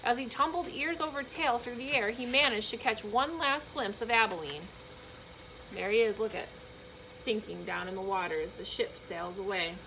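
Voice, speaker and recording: A woman reading a children's book aloud in an expressive, emphatic storytelling manner, with short explanatory asides for young listeners. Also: on the high side, moderate pace, a little hiss or hum, low-quality recording.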